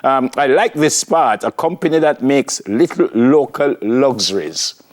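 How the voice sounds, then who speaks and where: A man's voice: mid-range voice, lively intonation, unhurried. A man with a Jamaican accent hosting a morning TV show, asking questions in a chatty, jovial way. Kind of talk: TV interview.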